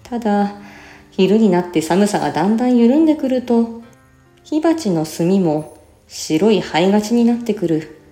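Slow, measured woman reciting literature. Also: mid-range voice, big pitch swings, mellow. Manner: careful, clearly enunciated, measured. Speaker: reciter and voice actor. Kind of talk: literary recitation of a classical essay. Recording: roomy reverb with faint music behind. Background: faint music.

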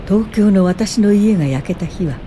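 A woman playing a composed, politely firm older welfare pioneer in a radio drama, with measured narration and dialogue. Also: mid-range voice, noisy background, lightly acted. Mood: solemn, reminiscent.

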